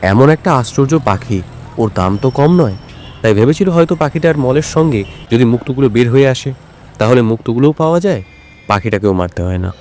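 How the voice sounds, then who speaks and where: A man acting a shrewd, commanding older detective in an audio drama, authoritative and measured. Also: medium pitch, animated, a little mellow, a little hiss or hum, lightly acted. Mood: thoughtful.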